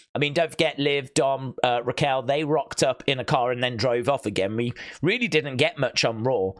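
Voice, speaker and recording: A man's voice: medium pitch, a bit bright. Chatty man hosting a casual fan show. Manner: chatty, informal, jokey asides. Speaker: wrestling review show host. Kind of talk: casual chat, reading out viewer comments.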